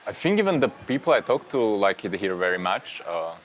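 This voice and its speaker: Hesitant young man, low voice. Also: very animated, brisk, slight background noise. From Slovakia. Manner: hesitant, many fillers. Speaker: young journalist. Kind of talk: casual interview answers.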